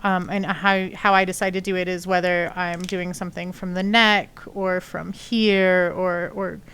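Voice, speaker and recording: A woman's voice: fairly low pitch, level tone, measured pace, fairly bright tone, smooth. Chatty sniffly woman streamer. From not from Texas. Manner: rambling, chatty, many fillers. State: sniffly from allergies. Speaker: sculpting streamer. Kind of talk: casual live-stream tutorial chat. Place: home studio, lives in Texas.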